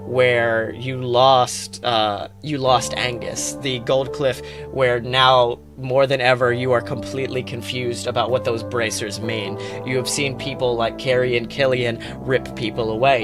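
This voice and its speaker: A man improvising dramatic narration as the game master of a tabletop game. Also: mid-range voice. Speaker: game master, podcast host. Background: guitar music.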